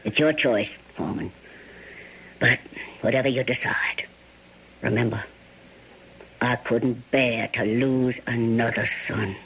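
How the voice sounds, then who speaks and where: An actor playing a careworn older farm parent in a radio drama, earnest, folksy and imploring. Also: male voice, medium pitch, fairly even intonation, slow pace, pauses often, fairly bright tone. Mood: resigned, imploring. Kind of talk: old-time radio drama acting. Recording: slightly noisy radio drama recording.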